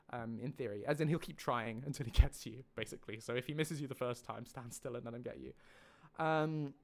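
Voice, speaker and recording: Enthusiastic man chatting on a livestream. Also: fairly high pitch. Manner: enthusiastic, rambling explanatory. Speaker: mod creator and livestream host. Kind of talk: casual livestream update talk to viewers.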